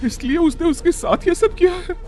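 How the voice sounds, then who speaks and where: Man voicing an anxious, high-pitched village aunt. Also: normal speed, darkish tone. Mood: horrified. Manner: urgent, pleading. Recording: background music under the voice.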